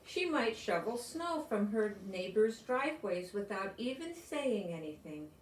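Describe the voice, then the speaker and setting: An older woman with an American accent reading a children's story aloud, deliberately and explaining things as if to young listeners. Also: medium pitch, big pitch swings, slight background noise. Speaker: storybook reader. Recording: roomy echo, distant from microphone.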